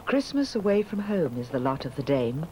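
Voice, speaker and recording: A man narrating a documentary in a measured, wry tone. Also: fairly high pitch, sing-song, noisy background.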